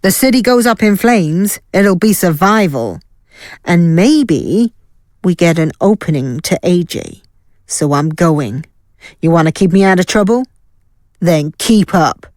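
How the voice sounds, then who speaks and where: A woman's voice: on the low side, animated, measured pace, darkish tone, no room sound, clean studio sound. A woman playing a fierce, defiant fighter with a blunt, combative way of talking.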